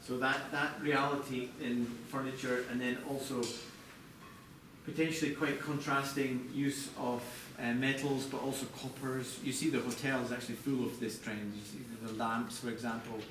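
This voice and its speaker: A man presenting product design live to a group, explaining in a halting way with corporate phrasing. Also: medium pitch, little pitch movement. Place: large echoey indoor venue, apparently a hotel. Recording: echoey noisy room, distant mic.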